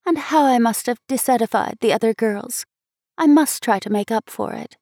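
A woman's voice: a little high, moderate pace, dark tone, no echo, studio quality, voice pushed up. A woman voicing an impulsive, kind-hearted schoolgirl in a storybook, earnest in manner. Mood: self-reproachful. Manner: earnest, impetuous.

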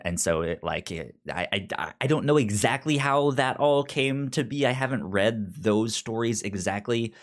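A man co-hosting a podcast in a casual discussion, halting and often stammering over the starts of words. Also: low-pitched. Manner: halting, frequently stammers and repeats word starts. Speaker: podcast co-host. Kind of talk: casual comic book discussion.

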